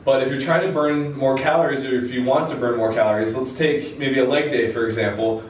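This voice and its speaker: A man's voice: mid-range voice, fairly even intonation, brisk. A man, explaining things conversationally to the camera as a fitness video host. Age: 20s. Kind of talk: informal instructional talk to camera. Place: small indoor room with a whiteboard. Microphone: camera mic a few steps away. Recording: very echoey room, distant mic, faint noise.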